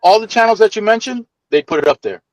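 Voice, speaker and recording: A man's voice: sits high, lively intonation, fast, a crowd in the background. A man from Puerto Rico chatting casually on a stream, often repeating his questions and asking for clarification. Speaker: stream guest. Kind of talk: casual chat. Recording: dull lo-fi remote audio.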